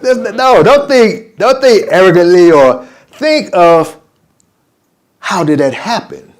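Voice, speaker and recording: An older man leads an informal religious class as imam. His pitch swings widely and he talks loosely, drifting between points and slipping into quick back-and-forth exchanges he plays out himself. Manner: animated and digressive, acts out conversations.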